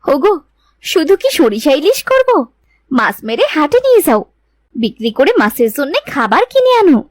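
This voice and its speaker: A woman's voice: high voice, sing-song, moderate pace, no room sound. A woman playing a bossy but good-hearted village wife in a cartoon folk tale, exclaiming, scolding and coaxing.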